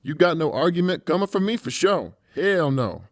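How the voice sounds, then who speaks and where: A male actor as a huge professional torturer from an audiobook thriller. He is theatrical and menacing, with a very animated pitch. The sound is dry and close. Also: moderate pace, no echo. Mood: taunting glee. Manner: slangy, showy and taunting. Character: large, gleefully sadistic professional torturer who plays the comedian. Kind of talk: dramatized audiobook character acting.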